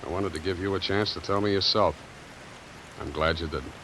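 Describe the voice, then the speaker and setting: An actor playing a sardonic, wisecracking private detective with clipped, dry delivery in a 1950s radio drama. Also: male voice, sits low, fairly quick, lightly acted, rough recording. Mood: subdued, tender. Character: hard-boiled, wisecracking private detective, exasperated with his secretary. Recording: hissy vintage radio recording.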